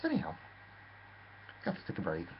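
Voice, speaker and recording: Theatrical rambling man, low voice. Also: wildly swooping pitch, fairly quick, gravelly. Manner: theatrical, rambling, addresses listener as lad. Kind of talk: rambling storytelling monologue. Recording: dull lo-fi with faint noise.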